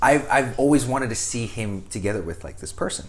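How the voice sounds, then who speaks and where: A young man co-hosting a casual podcast, animated, swearing casually and laughing often. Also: mid-range voice, fairly quick. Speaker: podcast host. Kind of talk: casual chat.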